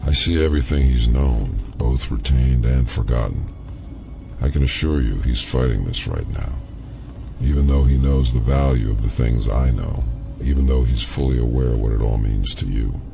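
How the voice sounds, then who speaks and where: A man's voice: a bass voice, normal speed, gravelly. An actor voicing an ancient alien consciousness in a man's body, ominous, knowing, solemn and formal, in an audio drama. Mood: knowing, serene.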